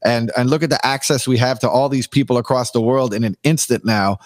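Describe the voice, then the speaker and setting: A man from Canada, a DJ guest on a podcast, talking with a raspy voice after long streams and telling stories with enthusiasm. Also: medium pitch, brisk, no echo, studio quality. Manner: enthusiastic, animated storytelling. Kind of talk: candid podcast conversation.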